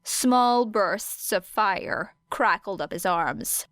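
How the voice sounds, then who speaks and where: A woman's voice: medium pitch, expressive, extremely slow, fairly bright tone, dry recording, clean studio sound. A woman reading scripted fiction as an audiobook narrator.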